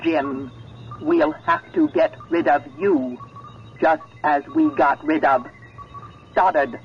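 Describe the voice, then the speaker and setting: An actor playing a sinister talking machine in a 1970s radio drama, calm and insistent, with halting, deliberate phrasing. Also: male voice, on the high side. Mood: menacing. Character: sinister talking computer, calmly menacing and insistent. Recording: dull vintage radio recording, faint music.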